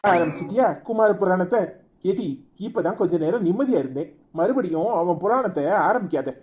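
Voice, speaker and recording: A woman playing a gruff, grumbling middle-aged husband in a comic family skit. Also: lowish voice, animated, brisk, a little mellow, a little hiss or hum, lightly acted. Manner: gruff, scolding.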